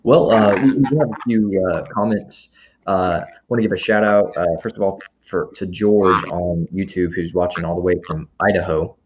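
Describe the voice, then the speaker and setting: A man casually moderating as a library host, hesitant and halting. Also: sits low. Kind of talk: casual question-and-answer moderating. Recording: dull online call with slight noise.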